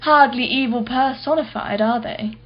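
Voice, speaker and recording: Woman acting as a haughty, high-voiced art collector. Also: normal speed, dull audio, natural voice. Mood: sardonic. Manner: arch, articulate, sardonic. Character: haughty, wealthy, privileged female art collector, witty and defensive. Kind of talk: radio play acting.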